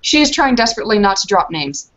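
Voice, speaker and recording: A woman speaks as her character at a tabletop roleplaying session, earnest and stopping to think mid-sentence. Her voice sits at a medium pitch. The recording is muffled and lo-fi. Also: very animated, fast, fairly bright tone, a little hiss or hum.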